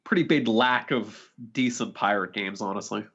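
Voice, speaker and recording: A man's voice: fairly low pitch, normal speed, a bit bright, slightly rough. A man co-hosting a podcast, chatting casually about video games and peppering his sentences with "like". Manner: frequent "like" as a filler. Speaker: podcast co-host. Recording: slightly dull, lo-fi voice recording.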